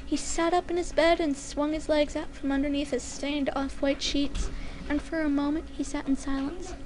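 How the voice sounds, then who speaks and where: A woman reading a story aloud dramatically, in a deliberate and careful way. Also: high voice, steady tone, a little mellow. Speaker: fan fiction reader.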